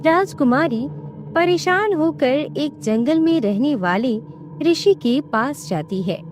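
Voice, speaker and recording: Woman, storyteller, high voice, animated intonation, slow pace, scripted fairy-tale narration. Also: faint music behind.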